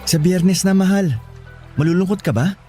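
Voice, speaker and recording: A man's voice: high voice, normal speed, music in the background, natural voice. A man playing a devoted young boyfriend in a Filipino radio romance, speaking earnestly and tenderly. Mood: wistful. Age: young adult. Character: devoted young boyfriend leaving to study in the city. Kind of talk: Tagalog radio romance drama acting.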